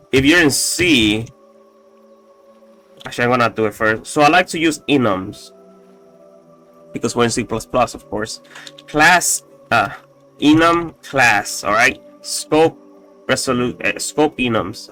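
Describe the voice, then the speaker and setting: A man casually walking through his code, halting often with fillers and restarts, with a slightly raspy voice and the odd swear. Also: mid-range voice, bright, forceful tone. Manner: halting, full of fillers and restarts, profane asides. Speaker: coding tutorial host. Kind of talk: casual screen-recorded coding walkthrough.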